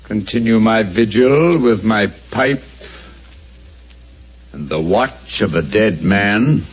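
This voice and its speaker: An actor playing a calm, brilliant male detective in an old radio drama, crisp and assured. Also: lowish voice, slow, a little hiss or hum. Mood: cool. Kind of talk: 1940s radio acting. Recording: dull lo-fi old radio recording.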